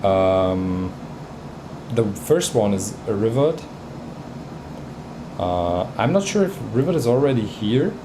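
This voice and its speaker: Man, native Bosnian speaker, low voice, halting speech, casual livestream talk. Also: animated, noisy background. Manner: hesitant, many fillers and restarts. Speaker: livestream host reviewing music tracks.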